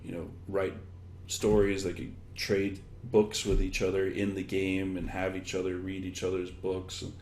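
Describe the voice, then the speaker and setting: An echoey room and some background noise surround this man's rather low voice. He talks conversationally as an interview guest. His answers come haltingly, built up from one example after another as he thinks. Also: monotone, a little mellow. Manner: halting, thinking aloud in loose chains of examples. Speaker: interviewed guest, education technology expert.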